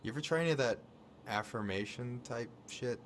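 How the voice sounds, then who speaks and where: A man's voice: medium pitch, a little fast, slight background noise, natural voice. A man playing a laid-back, supportive young American friend in a video game, colloquial and reassuring. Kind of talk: naturalistic video game dialogue.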